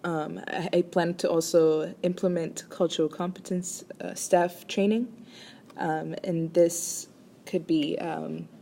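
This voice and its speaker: A woman's voice: on the low side, little pitch movement, slow, creaky, dark tone, a little hiss or hum. A woman from Rwanda presents a class assignment as a chiropractic student, speaking hesitantly with many ums and restarts.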